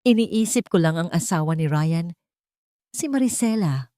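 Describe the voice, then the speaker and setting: Wronged wife played by a female narrator, Filipino accent, low, composed, menacing, out for revenge; dry audio drama. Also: expressive, normal speed, dark tone, dry recording, close to an everyday voice. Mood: menacing, pointed. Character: vengeful, dignified wronged young wife, coldly composed.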